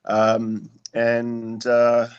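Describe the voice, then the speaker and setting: A man's voice: mid-range voice, monotone, extremely slow, clear, resonant tone. A man with a mid-range voice introduces himself hesitantly. His many "um"s come through somewhat dull, muffled call audio. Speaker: debate panelist. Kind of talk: casual self-introduction.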